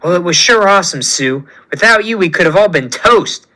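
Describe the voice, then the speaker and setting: Man doing a brash gruff brawler. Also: high voice, lively intonation, a little fast, bright, forceful tone, poor audio. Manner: brash, folksy. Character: gruff tough-guy rock-skinned brawler. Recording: music playing underneath.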